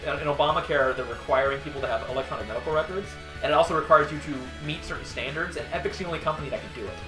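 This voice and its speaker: A man's voice: fairly high pitch, racing. Rambling swearing young man. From Wisconsin, USA. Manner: rambling storyteller, swears freely, restarts often. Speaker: co-host of a gaming show. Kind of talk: casual commentary chat over gameplay. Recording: roomy recording with game music behind.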